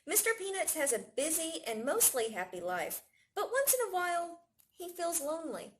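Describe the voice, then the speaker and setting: A woman reading a picture book aloud to young children in an expressive storytelling manner. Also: extremely high pitch, normal speed. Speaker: library staff storytime reader.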